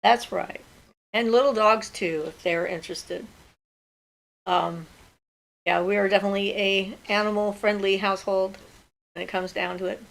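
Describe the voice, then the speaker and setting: A woman's voice: medium pitch, steady tone, moderate pace, vocal fry, long pauses, poor audio. An older woman hosting a livestream from home, chatting casually and answering viewers' questions as she thinks aloud. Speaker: host of a magic Q&A livestream.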